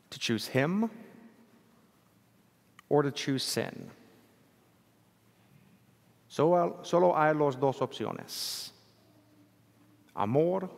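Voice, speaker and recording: A man preaching a homily as a priest, a native English speaker, earnest and unhurried with frequent self-deprecating chuckles, recorded in a church during an evening Mass. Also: medium pitch, gravelly. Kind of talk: bilingual homily. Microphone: church sound system, livestreamed. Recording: long church-hall reverb.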